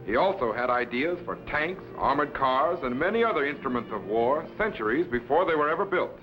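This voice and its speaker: An actor playing a commanding, scholarly time-machine captain in a crisp, authoritative 1950s science fiction serial style. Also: male voice, medium pitch, expressive, a little fast, lightly acted. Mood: instructive. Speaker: captain and mentor. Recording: dull vintage broadcast with loud music.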